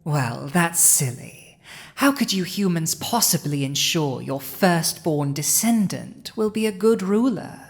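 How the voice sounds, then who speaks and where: A woman's voice: on the low side, lively intonation. A woman playing a proud villainous dragon who has seized a human throne, speaking formal, archaic lines in a scripted audio roleplay. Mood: condescending, amused. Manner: formal archaic diction, haughty, deliberate.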